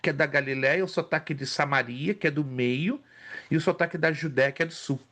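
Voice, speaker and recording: Animated man telling stories in class. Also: a little high, brisk. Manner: storytelling, animated, lists facts. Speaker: theology teacher. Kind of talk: casual class conversation.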